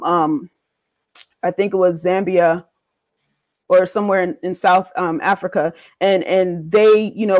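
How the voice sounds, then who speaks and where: A woman's voice: mid-range voice, dry recording. A woman speaking passionately in a discussion, stressing points by repeating them. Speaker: guest in a discussion.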